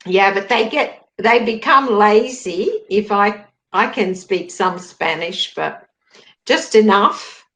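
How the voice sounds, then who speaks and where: Aussie woman teaching english on a video call, slow and patient, rewords things. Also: medium pitch, fairly bright tone. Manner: patient, rephrases questions. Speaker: English tutor. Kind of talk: online language lesson conversation.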